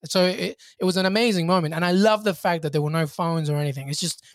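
A man's voice: sits high, quick pace, no room sound, studio quality. A man from Peru, a guest on a podcast, talking reflectively in casual chat with many fillers and restarts.

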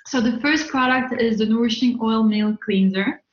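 A woman's voice: a little high, normal speed. A woman hosting a podcast, chatting casually and effusively as she interviews and reviews products. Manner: effusive, informal. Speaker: podcast host. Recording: roomy, slightly dull sound.

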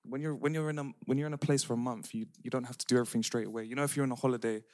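A man's voice: mid-range voice, very fast, dry recording, clean studio sound. A young man, chatting casually as a podcast co-host and telling stories with lots of slang. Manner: animated storytelling, laughing slang-heavy. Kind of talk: casual chat among friends.

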